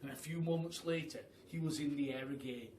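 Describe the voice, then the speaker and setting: A man's voice: fairly high pitch, steady tone, a little fast, darkish tone, slight background noise. A man reading a children's story aloud in the manner of a primary school storyteller. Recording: roomy echo, far from mic.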